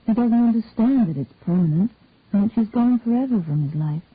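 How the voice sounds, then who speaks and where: A woman narrating a detective short story in a measured storytelling way. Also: a little high, expressive, slightly rough. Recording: narrow phone-like dry sound.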